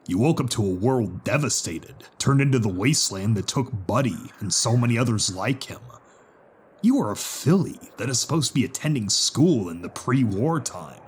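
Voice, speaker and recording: A man's voice: medium pitch, expressive, moderate pace, a little hiss or hum, lightly acted. A man voicing an angry, cynical zebra survivor with a stern, formal delivery in an audio drama. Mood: cold deflecting. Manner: stern, cold, formal phrasing. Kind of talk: audio drama character acting.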